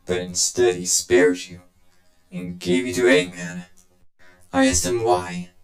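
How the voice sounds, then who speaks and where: An actor voicing a scheming, vengeful game villain who tells his story in a deliberate, condescending, smug way. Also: low-pitched, wildly swooping pitch, gravelly, reverberant, exaggerated voice. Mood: brooding, scornful. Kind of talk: dramatic video game cutscene monologue.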